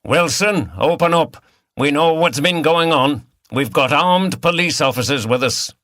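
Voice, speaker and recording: A man voicing an authoritative, brisk police detective in charge in a mystery audiobook. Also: a little high, a bit bright, dry recording, studio quality, pitched above the performer's own voice. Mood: barking orders. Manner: authoritative, explanatory.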